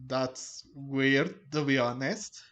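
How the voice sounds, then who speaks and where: Slow, halting man commentating a game. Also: mid-range voice, fairly even intonation, a bit bright. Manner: hesitant, puzzled, halting. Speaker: gaming video commentator. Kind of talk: casual improvised game commentary.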